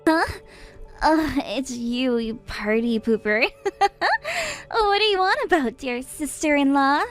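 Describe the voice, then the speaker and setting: A woman voicing a drunk, mocking young sister-in-law who giggles and taunts in a high voice. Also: animated, slow pace, soft background music. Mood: drunk taunting.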